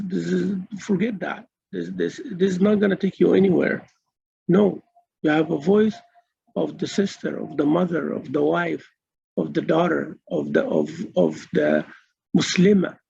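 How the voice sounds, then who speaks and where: A man with a gravelly, raspy, rather high voice gives an earnest religious lecture. His tone is dark and mellow, and he halts often before hammering phrases home through repetition. The recording is dull and lo-fi, with a dry sound. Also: dry recording. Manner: earnest, halting with many filler sounds, repeats phrases for emphasis. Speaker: Islamic teacher and mentor. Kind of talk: religious lecture to women students.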